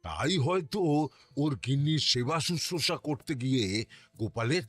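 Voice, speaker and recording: A man voicing a mild, sympathetic courtier in a cartoon, with a gravelly, husky voice. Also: fairly high pitch, expressive, moderate pace, fairly bright tone, no room sound. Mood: conciliatory. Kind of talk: animated cartoon voice acting.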